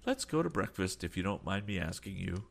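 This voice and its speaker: A man voicing a calm, overly polite sea captain. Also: sits low, a little fast, gravelly, pitched above the performer's own voice, full character voice. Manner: calm, deferential, adds apologetic asides.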